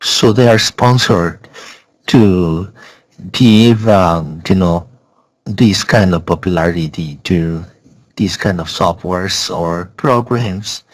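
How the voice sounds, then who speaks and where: Slow-talking, insistent man with swooping pitch. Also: dry recording, rough recording. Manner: blunt, insistent, repeating himself. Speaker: software reviewer. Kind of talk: casual spoken review.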